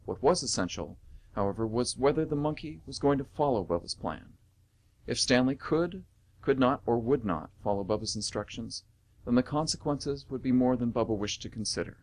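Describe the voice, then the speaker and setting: A man announces and narrates for a radio theatre show. His mid-pitched voice moves widely in tune as he reads scripted material. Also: expressive, moderate pace.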